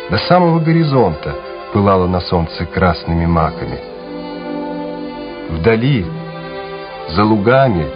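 A man narrating a wartime radio drama in a scripted, dramatic style. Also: lowish voice. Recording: slightly dull radio recording with music. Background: music.

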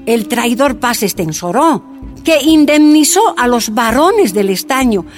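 Animated, indignant Bolivian woman. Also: fairly high pitch. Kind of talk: radio drama testimony.

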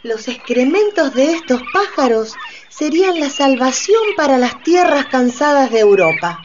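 A woman's voice: high-pitched, very animated, normal speed. A woman narrating history in a scripted radio drama. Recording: dull lo-fi, faint background noise.

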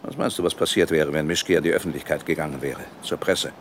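An actor playing a ruthless, defiant older company boss in a German radio drama, arguing forcefully and with contempt. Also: male voice, rumbling low, fast talker, a little hiss or hum. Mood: defiant, cold. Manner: forceful, rhetorical, self-justifying.

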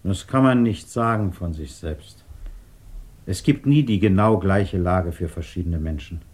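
Grave fatherly authority, man, lowish voice, measured philosophical delivery, vintage radio play. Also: darkish tone. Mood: stern, measured. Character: grave, principled, fatherly interrogator with firm convictions. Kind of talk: 1950s German radio drama acting.

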